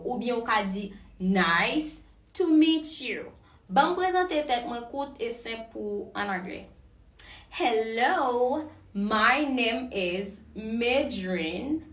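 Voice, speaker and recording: Slow, deliberate woman teacher. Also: fairly high pitch, far from the mic. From Haiti. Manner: repeats English phrases slowly after Creole explanations. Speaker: English teacher. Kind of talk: slow, deliberate language lesson. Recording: echoey room, voice set back from the mic.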